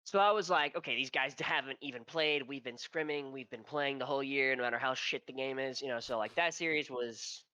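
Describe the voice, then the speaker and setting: A man's voice: mid-range voice, a little fast, few pauses, sharp tone, no room sound. A man co-hosting a casual esports podcast, with a nasal, stuffy voice from allergies and a profane, rambling way of telling stories. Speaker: podcast co-host.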